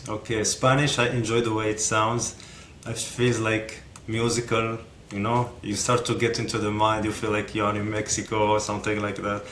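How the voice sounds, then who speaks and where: A man with a fairly low voice talks as a language professor in a casual interview. He speaks in a calm, encouraging way with plain, simple phrasing. The room sounds echoey, with a faint rumble behind him. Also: moderate pace.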